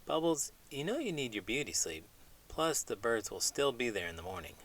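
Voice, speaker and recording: A man reading a children's storybook aloud with animated, dramatic emphasis, in the manner of an elementary school teacher. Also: medium pitch, normal speed, no echo. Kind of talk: expressive storybook read-aloud with puppet banter.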